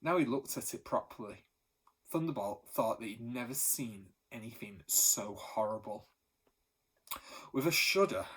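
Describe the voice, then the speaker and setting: Male teacher, husky voice, mid pitch, story read-aloud. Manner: steady, expressive reading, voice lifting for the characters. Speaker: teacher reading to pupils.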